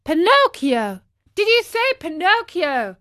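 A woman's voice: high voice, big pitch swings, takes it slowly, a bit bright, pitched above the performer's own voice, heavily acted. A woman voicing a naive, impulsive wooden marionette boy in a storybook, with an exclamatory, pleading delivery. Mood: tearful, startled. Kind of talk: storybook character voice.

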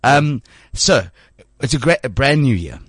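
A man's voice: mid-range voice, measured pace, husky, no room sound. A man in his thirties hosting a show, talking in a rambling way with many restarts. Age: 30s. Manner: rambling, stumbling restarts, animated. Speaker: show host. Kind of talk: casual studio chat.

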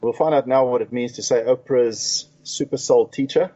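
A man hosting an interview talk show, relaxed and chatty with frequent fillers. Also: medium pitch, moderate pace. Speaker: radio talk show host. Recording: dull lo-fi sound.

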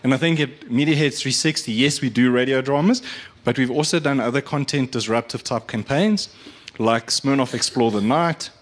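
A man's voice: medium pitch, moderate pace. A man giving an informal, earnest, explanatory presentation to an audience. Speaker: media agency speaker. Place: live event venue.

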